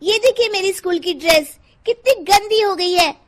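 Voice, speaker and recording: A woman's voice: very high voice, moderate pace, pitched above the performer's own voice. A woman voicing a demanding young schoolgirl in a cartoon story, whining and complaining. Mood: whiny, demanding.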